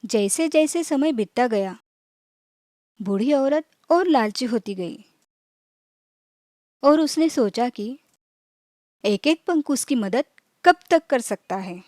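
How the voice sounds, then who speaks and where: Slow, high-voiced woman storyteller. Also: animated, dark tone, no room sound, studio quality. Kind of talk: scripted children's moral story narration.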